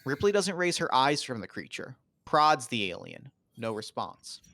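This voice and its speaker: A man's voice: mid-range voice, sing-song, moderate pace, creaky, pushed and bright, no echo. A man reading stage directions as the narrator of a scripted table read.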